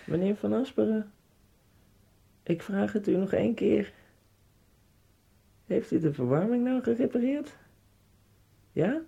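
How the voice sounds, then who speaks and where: A man voicing a polite, persistent immigrant man in a radio drama, repeating his questions slowly, with a husky gravelly voice set back from the mic. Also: high-pitched, animated, mellow, muffled recording, pitched above the performer's own voice, exaggerated voice. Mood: insistent. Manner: slow, polite, insistent repetition.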